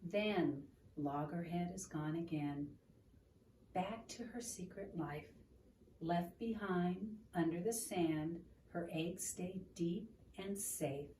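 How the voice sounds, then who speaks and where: A woman reading a picture book aloud to a child and explaining it in a gentle, teacherly way. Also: fairly low pitch, takes it slowly. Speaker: children's storytime reader. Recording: roomy, distant mic with room noise.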